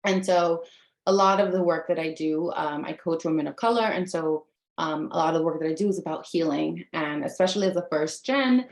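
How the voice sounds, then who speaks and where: A woman's voice: low voice, vocal fry, darkish tone, reverberant. A woman in her thirties, a native Spanish speaker, giving an earnest, conversational online workshop as a life coach, talking straight to her audience. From United States.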